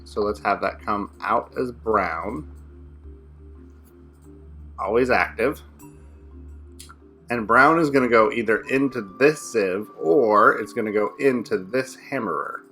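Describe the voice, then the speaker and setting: A man's voice: lowish voice, pushed and bright, faint music behind. A young man giving casual commentary as he plays a building game with a friend, talking himself through each step. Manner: rapid thinking aloud while building. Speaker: co-host playing a modded game.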